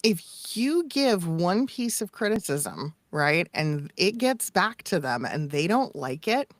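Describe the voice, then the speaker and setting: A woman's voice: on the low side, big pitch swings, takes it slowly, no echo. A middle-aged woman talking candidly and emphatically as a coach in casual podcast conversation, repeating phrases for effect. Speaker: voice acting coach and demo producer.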